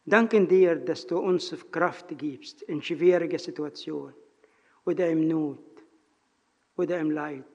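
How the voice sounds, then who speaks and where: A man with a non-native German accent preaches with earnest, halting phrasing. His high, husky voice moves slowly through the sermon and the prayer. The sound rings in a reverberant church hall and comes through dull and lo-fi. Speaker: lay preacher. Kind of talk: sermon with personal story and prayer.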